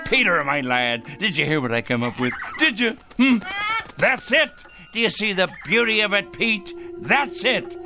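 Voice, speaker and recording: A man's voice: high-pitched, full character voice. A man acting in a radio drama as a self-righteous, ranting crank obsessed with punishing evil. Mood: manic glee. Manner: pompous, ranting, theatrical. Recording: radio drama with music underneath.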